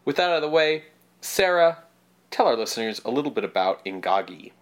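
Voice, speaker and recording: Stuffed-up, animated young man. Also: medium pitch. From Canada. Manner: chatty, animated delivery with quick asides. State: stuffed up, a bit nasal. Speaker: podcast co-host. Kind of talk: casual podcast chat.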